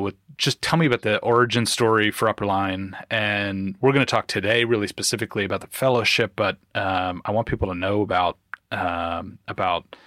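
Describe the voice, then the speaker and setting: A man's voice: lowish voice, a bit bright, no echo, clean studio sound. A man hosting a podcast interview, talking in a casual, rambling way with lots of fillers and enthusiasm. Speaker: podcast host.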